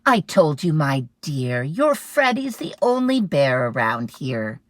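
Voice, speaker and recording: Lady doing a no-nonsense mom in a kids book, loud and brushing it off. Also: low-pitched, big pitch swings, slow pace, heavily acted. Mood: dismissive. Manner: calling out, dismissive. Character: brisk, dismissive storybook mother.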